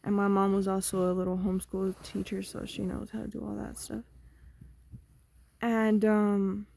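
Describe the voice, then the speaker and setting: A young woman vlogging, talking through her thoughts in a slow, meandering, conversational way that often trails off. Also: mid-range voice, monotone, vocal fry, darkish tone, a little hiss or hum. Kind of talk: rambling personal monologue.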